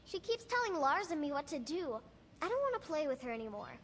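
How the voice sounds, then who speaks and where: A woman's voice: high voice, big pitch swings, brisk, a bit bright, slight background noise. A woman playing an earnest, playful young girl in a video game. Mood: petulant. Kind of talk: video game character dialogue.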